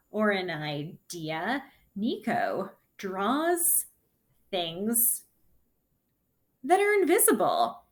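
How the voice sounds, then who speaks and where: A woman speaks as a kindergarten teacher reading aloud to young children. Her pace is slow and deliberate, broken into short, simple phrases. Her medium-pitched voice rises and falls with lively intonation.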